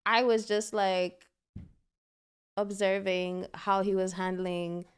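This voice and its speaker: A woman from Ghana, a musician guest in a casual interview, thoughtful and measured. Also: medium pitch, fairly even intonation, clear, resonant tone.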